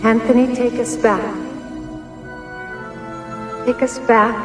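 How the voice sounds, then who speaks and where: Firm, slow-spoken, high-voiced woman. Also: little pitch movement. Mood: insistent. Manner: firm, commanding. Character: firm woman directing a powerful child. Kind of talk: film drama dialogue. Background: music.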